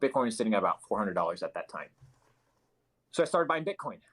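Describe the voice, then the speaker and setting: Lowish-voiced young man, American accent. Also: sing-song, quick pace, fairly bright tone. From Argentina. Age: 20s. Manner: informal, sweary. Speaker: entrepreneur, guest. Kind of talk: casual personal storytelling.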